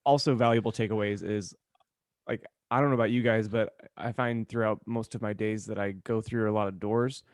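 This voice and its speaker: A man's voice: on the low side, fairly even intonation, a little fast, no room sound, clean studio sound. A man chatting casually on a comedy podcast, wry and profane in his banter with co-hosts. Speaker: podcast co-host. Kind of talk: casual comedic group chat.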